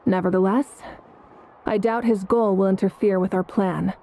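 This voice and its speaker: An actress playing a calm, resolute female leader in a video game, composed and authoritative. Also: mid-range voice, moderate pace, a crowd in the background, natural voice. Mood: firm, resolute. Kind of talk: English video game character acting.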